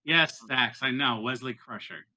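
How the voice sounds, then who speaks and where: A man's voice: medium pitch, measured pace, pushed and bright. A man chatting casually as a player in a tabletop game, with a wry, sardonic manner. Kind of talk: casual joking game chat.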